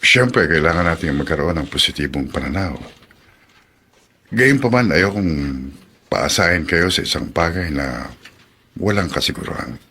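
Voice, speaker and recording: A Filipino doctor in a radio drama. His voice is very low yet forceful, measured and frank, with faint noise behind it. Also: expressive, exaggerated voice.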